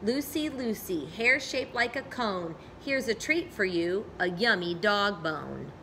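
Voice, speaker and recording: A woman's voice: mid-range voice, expressive, slow, pushed and bright, a little hiss or hum, exaggerated voice. A woman voicing a mean schoolboy bully from a storybook with a sneering, taunting manner.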